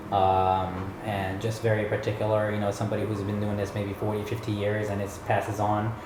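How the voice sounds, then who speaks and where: A man speaks slowly and reflectively, often pausing to search for words. His voice sits low and stays flat. The room around him echoes, and steady noise runs underneath. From Palestinian community in New York. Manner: thoughtful, many fillers and pauses. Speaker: interviewee. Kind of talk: reflective personal testimony.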